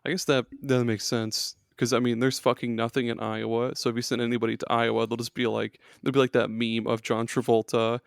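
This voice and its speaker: A man with a gravelly, fry-tinged voice rambles through gaming memories on a podcast, peppering his talk with fillers and curse words. He sits close to the mic in a dry, dead room with no echo. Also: mid-range voice, level tone, brisk, hardly any pauses. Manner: rambling, swears a lot, many fillers. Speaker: podcast guest. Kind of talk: casual gaming chat.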